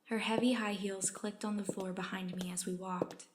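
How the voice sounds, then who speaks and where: A woman's voice: mid-range voice, flat delivery, normal speed, a little hiss or hum, lightly acted. A woman reading aloud a first-person story of a meek, put-upon character. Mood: subdued, resigned.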